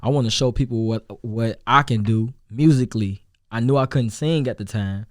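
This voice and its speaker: A young man from Chicago with a Chicago accent and a deep voice, chatting loosely as a rapper guest, with many restarts and fillers. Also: moderate pace, dry recording, studio quality. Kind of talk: casual interview chat.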